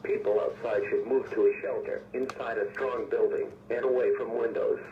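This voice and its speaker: A synthetic-sounding man's voice reading formal emergency weather bulletins in a measured, impersonal way, as an automated radio announcer. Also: medium pitch, steady tone, recorded from a distance. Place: weather radio broadcast picked up on a receiver. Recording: muffled, noisy, echoey weather radio broadcast.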